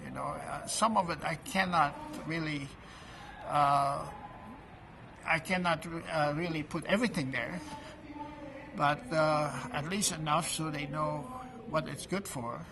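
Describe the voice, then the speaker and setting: A man in his late seventies gives an informal testimonial at a trade event, talking haltingly and often restarting words with fillers. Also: fairly high pitch, steady tone, vocal fry, noisy background. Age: 70s. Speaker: product promoter at an event booth.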